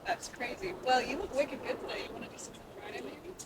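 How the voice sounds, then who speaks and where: A cheerful young woman with a Boston accent played by an actress chats in a slightly high voice. She is evasive and casual, in a comedy sketch. The outdoor sound is very noisy. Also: fast talker, natural voice. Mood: chirpy, casual. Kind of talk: acted comedy sketch dialogue.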